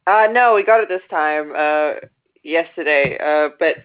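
A woman's voice: low-pitched, unhurried, faint music behind. A woman with an Australian accent, a bubbly improv player chatting and laughing. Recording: tinny voice-chat line.

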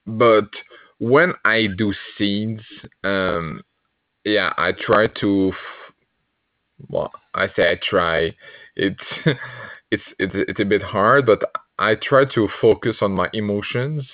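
A young man talks slowly in English, hesitating and restarting often as he explains himself. His voice sits low for a man. The sound is tinny and dry, like a video call. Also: no echo. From Quebec, Canada. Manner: hesitant, many fillers and restarts, laughs often. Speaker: podcast guest, improv player. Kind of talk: casual chat.

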